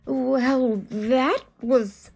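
A woman's voice: sits high, fairly even intonation, drawn-out words, darkish tone, heavily acted. A woman voicing an exasperated, dramatic math skeptic who argues back in a picture book read-aloud. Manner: dramatic, grumbling, emphatic. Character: exasperated math-skeptic reader arguing with an alien.

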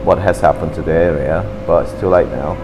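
A man's voice: a bass voice, a little fast, in a large hall, music in the background. A man in his fifties from Turkey, speaking English, chatting animatedly about his childhood in a café interview. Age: 50s. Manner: chatty, animated, gestures with hands, slightly broken English. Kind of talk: reminiscing in an interview. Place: café, seated at a table indoors. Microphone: camera across the table.

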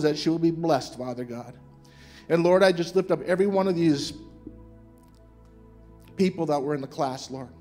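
A high-pitched man prays aloud with fervent conviction, punctuating nearly every phrase with "Lord" or "Father God." Soft music plays faintly underneath. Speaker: church member leading prayer. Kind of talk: spoken public prayer.

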